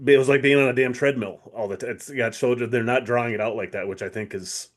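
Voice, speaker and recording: A man's voice: mid-range voice, fairly even intonation, fast talker. An American man co-hosting a casual podcast chat about television, agreeable and matter-of-fact in how he talks. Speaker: podcast co-host.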